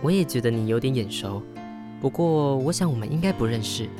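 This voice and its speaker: A man's voice: medium pitch, brisk, lightly acted. An actor playing a polite, flustered, sentimental young man in a Taiwanese Mandarin radio drama, with sighs and hesitant interjections. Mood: musing, polite. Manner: expressive, sighing, hesitant interjections. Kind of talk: Mandarin radio drama acting with inner monologue. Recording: studio voice over background music. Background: background music.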